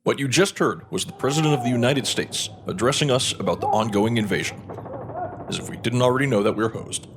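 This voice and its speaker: An actor playing a fatalistic radio news anchor with a dry, sardonic manner in an audio drama. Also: male voice, medium pitch, animated, a little fast, lightly acted. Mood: wry resignation. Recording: clear background noise, ambient sound.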